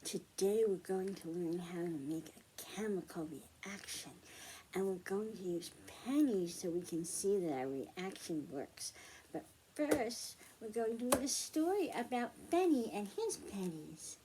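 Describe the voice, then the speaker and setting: A woman's voice: medium pitch, expressive, unhurried, noisy background. A woman hosting a children's library storytime, reading aloud and explaining things in simple phrases for young listeners.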